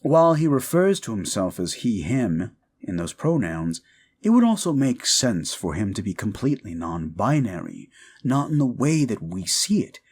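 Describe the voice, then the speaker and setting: A man's voice: lowish voice, sing-song, normal speed, darkish tone, breathy edge. A man talking casually and reflectively to his listeners, earnest and thoughtful, rambling a little as he goes. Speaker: audio drama voice performer and writer. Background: music.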